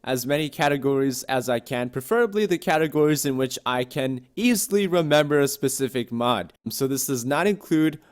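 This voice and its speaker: A man who makes gaming videos on YouTube, doing casual gameplay commentary and channel talk in an excitable, joking way, with lots of swearing. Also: medium pitch, brisk, hardly any pauses, smooth.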